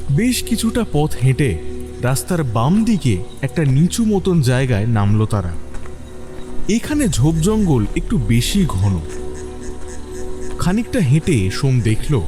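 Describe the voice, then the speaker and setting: A man reading scripted narration for an audio story. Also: medium pitch.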